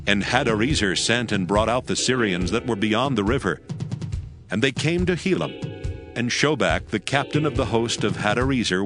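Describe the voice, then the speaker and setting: A man narrates scripture in a measured, solemn way with a fairly low voice. A clear music bed runs beneath his reading throughout.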